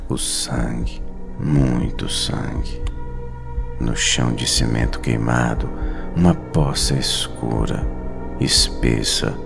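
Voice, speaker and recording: A Brazilian man narrating a scripted horror story in a dramatic, suspenseful manner with deliberate pauses. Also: very deep voice. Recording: narration over clear background music. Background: music.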